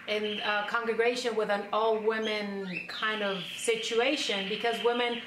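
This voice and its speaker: A woman from Mexico City with a Mexican accent, talking reflectively in conversation. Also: on the high side. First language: Spanish. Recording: distant, echoey recording with faint noise.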